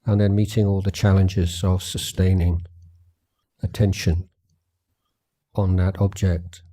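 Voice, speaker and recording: Very slow, mellow, low-key man teaching meditation. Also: little pitch movement. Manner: measured, gentle, with long pauses. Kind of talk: guided meditation talk at a retreat.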